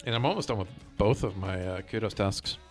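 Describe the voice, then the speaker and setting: A man's voice: fairly low pitch, a little fast. Casual male game streamer over music. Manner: thinking aloud, rambling commentary to viewers. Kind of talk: casual chat while playing a game. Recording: music behind the voice.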